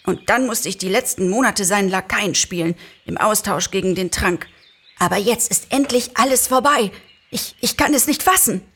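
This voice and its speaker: A woman voicing a slight, excitable man freed from a spell in an audio-play reading, exclaiming and cheeky. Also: mid-range voice, moderate pace, husky. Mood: excited, indignant. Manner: overjoyed exclaiming, cheeky and self-assured.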